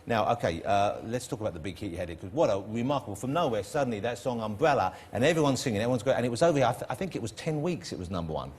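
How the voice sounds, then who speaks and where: A middle-aged man with a London accent, hosting a TV chat show and teasing his guest in quick, playful banter. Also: mid-range voice, lively intonation, slight background noise. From East London. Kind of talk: joking chat show interview. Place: TV studio with audience.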